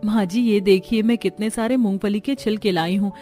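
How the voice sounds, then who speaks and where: A woman's voice: medium pitch, steady tone, a little fast, warm tone, soft background music, lightly acted. A woman voicing earnest, caring women of a poor village family in a cartoon story. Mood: happy, hopeful. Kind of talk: Hindi cartoon story dialogue.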